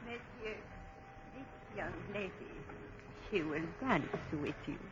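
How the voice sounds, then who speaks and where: A slow, hushed old woman glides through her few words with an uncanny calm, in a 1940s radio thriller. The lo-fi broadcast sound is muffled and dull. A clear hiss runs underneath her voice. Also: medium pitch. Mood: eerie. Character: mysterious old French woman at a masked ball.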